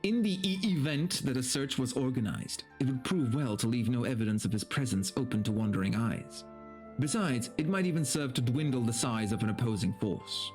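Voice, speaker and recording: A male streamer gives a dramatic reading in a medium-pitched voice over video game music. His delivery is theatrical for the prose and turns casual and profane for his amused asides. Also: lively intonation, fairly quick, a little mellow. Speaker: streamer reading a story aloud. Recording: background music under the voice.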